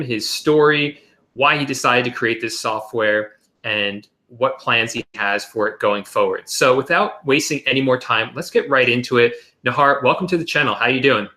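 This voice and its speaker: A man's voice: medium pitch, bright, forceful tone. A man hosting an interview, speaking fluently in an upbeat presenter style. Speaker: podcast host.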